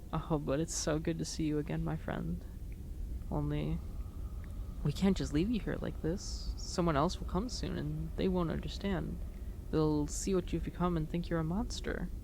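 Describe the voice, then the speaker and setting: A woman playing an emotional young huntress in a dramatic roleplay monologue, speaking in an earnest, halting way. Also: deep voice, creaky, a little mellow. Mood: tender, then urgent resolve. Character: emotional young huntress reunited with her lost friend. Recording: noticeable background noise.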